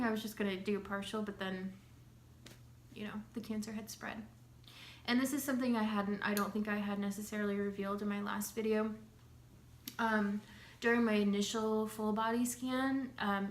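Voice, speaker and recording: A young woman with a slight cold, chatting casually to camera in a loose, rambling way with many fillers. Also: medium pitch. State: has a slight cold, clears her throat. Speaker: vlogger sharing her recovery. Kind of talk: casual talking-to-camera update. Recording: echoey room with faint hum.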